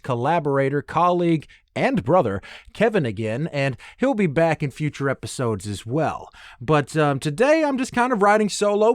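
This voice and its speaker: A man's voice: on the high side, no room sound. Rambling man, close dry sound. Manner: rambling, loose, tangent-prone. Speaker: podcast host. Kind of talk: casual solo podcast monologue.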